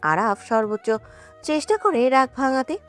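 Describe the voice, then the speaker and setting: A woman's voice: high-pitched, big pitch swings, normal speed. A woman narrating a scripted romance story with emotive, melodramatic delivery. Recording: music under the voice.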